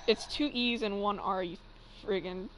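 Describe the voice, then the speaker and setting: A woman's voice: medium pitch, normal speed, fairly bright tone. A young adult woman chatting casually on a livestream in a blunt, teasing, sweary way. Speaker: livestream co-host and fan fiction reader. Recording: noisy amateur livestream microphone.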